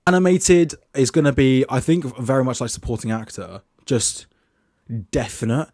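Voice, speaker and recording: A man's voice: medium pitch, animated, moderate pace, a little mellow, dry recording, studio quality. A young man casually talking through his film award predictions, chatty and loose, with plenty of restarts, reading from his notes. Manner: reads his list off notes.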